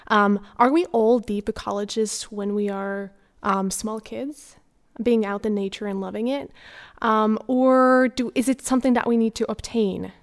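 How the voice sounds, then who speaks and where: A young woman from Slovakia speaks on a podcast panel. She talks hesitantly, pausing on ums and restarting her sentences. Her pitch is medium. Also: steady tone. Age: young adult. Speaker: panel guest, master's student.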